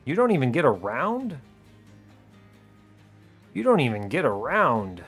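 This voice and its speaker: A man's voice: medium pitch, huge pitch swings, unhurried, fairly bright tone, slightly rough. Man casually commentating a game over music. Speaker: gaming video host. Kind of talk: casual gameplay commentary, thinking aloud through strategy. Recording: music behind the voice.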